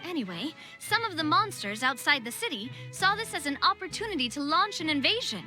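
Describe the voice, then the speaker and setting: A confident, friendly young female leader in a video game. The voice is high and very animated, with a crisp bright edge. Her manner is breezy and self-assured. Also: brisk, faint music behind, heavily acted. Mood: serious, explaining.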